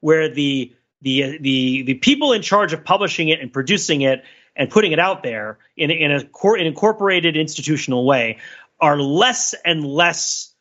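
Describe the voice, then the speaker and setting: Male podcast host, higher-than-average bright voice, thinking aloud in rambling analytical fashion with frequent "right?" check-ins. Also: lively intonation, lo-fi sound. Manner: discursive, halting, checks in with "right?". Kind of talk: casual analytical discussion.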